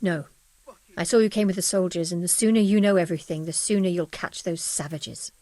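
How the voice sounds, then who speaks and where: Casting: bereaved villager woman played by an actress, game drama, frank and subdued, dark warm tone, dry recording. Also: on the low side, brisk, no room sound, lightly acted. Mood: resolute, bitter. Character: shaken, bereaved medieval village widow, frank and weary. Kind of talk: dramatic video game acting.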